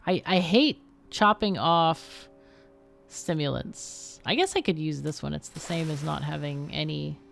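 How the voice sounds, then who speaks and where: A woman with a fairly low voice and plenty of vocal fry comments casually on her game as she streams. She talks her way through each choice aloud, with light music behind her. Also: animated, unhurried. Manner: chatty, thinks aloud while playing. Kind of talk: casual livestream gameplay commentary.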